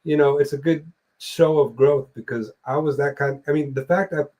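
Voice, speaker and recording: A young man hosting a live streamed interview, talking in a relaxed, rambling way with loose fillers and the odd swear word. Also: fairly high pitch, moderate pace, dull audio. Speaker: podcast host and musician. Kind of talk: casual interview chat. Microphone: remote streaming call mic.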